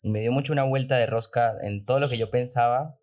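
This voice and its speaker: A man's voice: mid-range voice, fast talker, darkish tone, dull audio. A man hosting a podcast in a casual, conversational way, thinking aloud in an informal, rambling manner. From outside Argentina, moved there. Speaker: podcast host.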